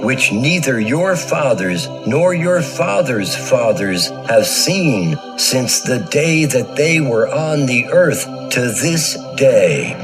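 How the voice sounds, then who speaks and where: A grave Hebrew prophet and leader, played by a man, speaks very slowly and firmly in a scripture audio drama. His pitch moves widely, with music underneath. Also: very animated, low-quality recording. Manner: deliberate, firm.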